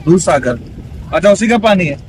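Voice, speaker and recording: A chatty, excited man narrates a travel vlog while riding a jeep over a rough road. His voice sits a little high, and he jokes with the people around him. Faint engine noise runs underneath. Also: normal speed. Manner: chatty, excited, joking with companions. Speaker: travel vlogger. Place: outdoors and in a jeep on a bumpy road.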